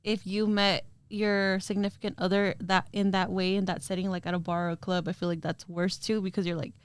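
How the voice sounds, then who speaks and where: Young female podcast host, curious and giggly, crisp tone. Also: mid-range voice, flat delivery, a little fast. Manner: chatty, laughs often, asks questions. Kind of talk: casual chat.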